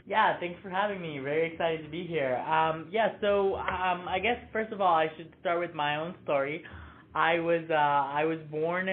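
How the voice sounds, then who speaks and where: A man's voice: on the high side, fairly even intonation, moderate pace, a little hiss or hum. A young man raised in Mexico answering interview questions earnestly and thoughtfully, with many fillers and restarts. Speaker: interview guest, nonprofit founder. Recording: tinny phone-like line.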